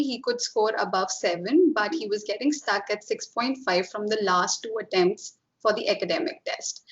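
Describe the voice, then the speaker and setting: A woman's voice: a little high, fairly bright tone, lo-fi sound. A woman with an Indian accent hosting an interview, explaining points emphatically to viewers. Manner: explanatory, emphatic, mixes in Hindi phrases. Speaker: IELTS coaching host. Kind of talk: interviewing a student, advising viewers.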